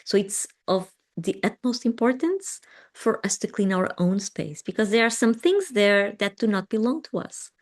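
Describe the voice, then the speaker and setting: A woman who is not a native English speaker leads a live meditation session. She answers chat comments gently, with frequent small laughs and pauses. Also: mid-range voice, animated. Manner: gentle and affirming, laughs often, with pauses and ums. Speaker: meditation teacher.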